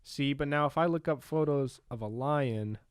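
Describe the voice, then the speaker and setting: A man's voice: mid-range voice, moderate pace, clear, resonant tone, dry recording, studio quality. Laid-back young man laughing through podcast banter. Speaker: podcast co-host.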